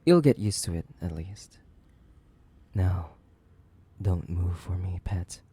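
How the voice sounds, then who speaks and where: A man playing a sadistic, possessive, centuries-old vampire in a horror roleplay, theatrical and menacing, with a creaky voice that lapses into breathy whispers. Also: low-pitched, animated, slow pace, mellow, a little hiss or hum. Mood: hungry, coaxing. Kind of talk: dramatic horror roleplay audio, addressing the listener.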